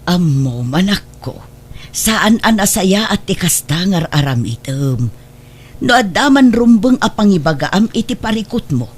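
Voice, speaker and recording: A woman's voice: mid-range voice, big pitch swings, measured pace, slightly rough. A woman with an Ilocano accent playing a worried, strict mother in an audio drama, probing and admonishing. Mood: stern. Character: worried, morally strict mother of a married daughter.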